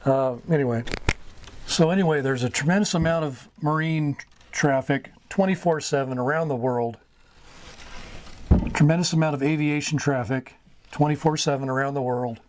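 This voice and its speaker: American male, slightly high voice, informal hobbyist explainer teaching beginners. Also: normal speed, background noise. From United States.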